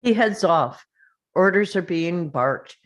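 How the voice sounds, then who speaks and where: Slow-paced woman narrating a screenplay. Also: on the low side, lively intonation. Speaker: stage-direction narrator. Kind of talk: scripted screenplay narration at a table read.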